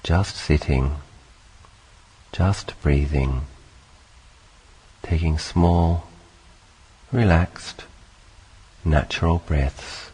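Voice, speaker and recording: A man leading a guided meditation, speaking calmly in short, unhurried phrases with long pauses between them. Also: a bass voice, breathy edge, low-quality recording. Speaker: meditation teacher.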